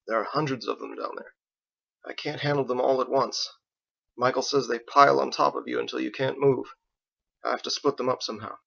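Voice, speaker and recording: A man voicing a calm, resolute master in an audiobook story, speaking in a measured, firm, matter-of-fact way. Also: mid-range voice, creaky, lo-fi sound. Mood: firm, thoughtful. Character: calm, resolute male master, patient and grave.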